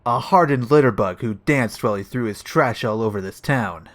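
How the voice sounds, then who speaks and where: A man's voice: mid-range voice, normal speed, lightly acted. A man playing a cocky, dim-witted police detective who talks tough and self-importantly in a comedic audio play. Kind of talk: comedic audio drama acting.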